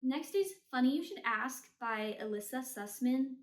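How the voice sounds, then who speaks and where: A woman's voice: high voice, animated, normal speed. A woman giving a casual, chatty book review with blunt, hyperbolic opinions and slangy phrasing. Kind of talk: casual chatty ranking of books read. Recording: roomy, echoey sound.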